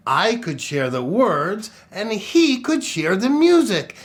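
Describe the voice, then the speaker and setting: Animated, slow-paced children's storytime host, a man. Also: high voice. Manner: theatrical, exaggerated delivery with sing-song exclamations and pauses. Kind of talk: playful children's show presenting with dad jokes.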